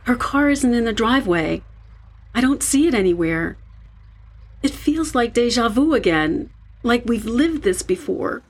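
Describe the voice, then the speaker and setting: An actress plays a nervous, loyal young woman in an audio drama. Her voice sits a little high and moves with lively intonation. She sounds earnest and uneasy. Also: measured pace, husky. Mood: worried. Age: young adult.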